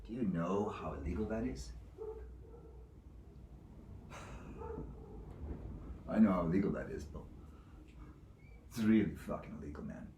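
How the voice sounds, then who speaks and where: A man's voice: deep voice, expressive, fairly quick, mellow. Guy acting out a scary sweary zealot judge, taunting and bossy, voice jumps all over, echoey noisy room. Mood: hushed menace. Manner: profane, taunting, domineering. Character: menacing foul-mouthed zealot acting as divine judge. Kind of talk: theatrical monologue, swinging from whispers to screams. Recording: echoey room with clear background noise.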